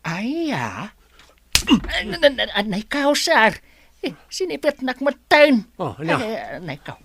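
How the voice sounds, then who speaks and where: Guy playing a nervous stammery ilocano servant, says sir a lot, jumpy pitch. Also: wildly swooping pitch, slow, gravelly, fairly bright tone, slight background noise, exaggerated voice. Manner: stammers and apologizes to his boss.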